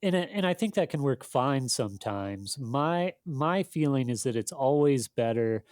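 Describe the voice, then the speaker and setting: A man speaking casually as an editor being interviewed, in a thoughtful, halting, self-deprecating way. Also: mid-range voice, expressive, darkish tone, dry recording, studio quality. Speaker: magazine editor, interview guest.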